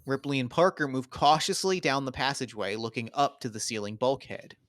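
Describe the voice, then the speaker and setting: A man's voice: mid-range voice, a little fast, fairly bright tone, no room sound. A man doing scripted narration, reading out the stage directions of a screenplay at a table read.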